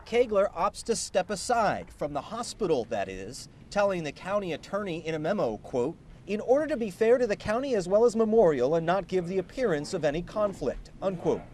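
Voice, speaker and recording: A man reading a scripted investigative television news report with emphatic, deliberate phrasing. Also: sits high, sing-song, darkish tone, slight background noise.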